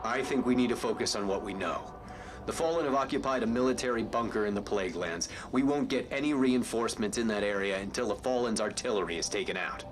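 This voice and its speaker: Dude doing game military commander, brisk briefing, lowish voice, firm, talks fast. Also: male voice, close to an everyday voice. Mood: firm, urgent. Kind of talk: scripted video game dialogue.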